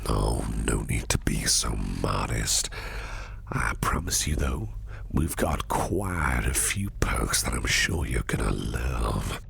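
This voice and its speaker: An extremely deep, heavily gravelled villain boss murmurs in a close, breathy ASMR roleplay. He takes his time, silky and flirtatious with a predatory menace under the teasing. A faint hiss sits behind the voice. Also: animated, slow, a bit bright, exaggerated voice. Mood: flirtatious, smooth. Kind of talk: intimate ASMR roleplay, close and breathy, often half-whispered.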